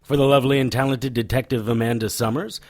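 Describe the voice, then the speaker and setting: An actor playing a cocky, sardonic occult investigator in an audio drama, with a wry, taunting delivery. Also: male voice, mid-range voice, steady tone, quick pace, a little mellow, slight background noise, natural voice. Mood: playful, showy. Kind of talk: audio drama acting with noir-style narration.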